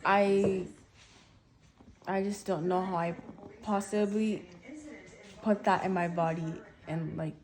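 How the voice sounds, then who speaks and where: A woman's voice: medium pitch, steady tone, slow, long pauses, darkish tone. A teenage girl answering casually in an interview, hesitant and leaning on youthful filler words. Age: teens. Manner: hesitant, youthful filler-heavy phrasing with frequent "like". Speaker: interviewee, daughter.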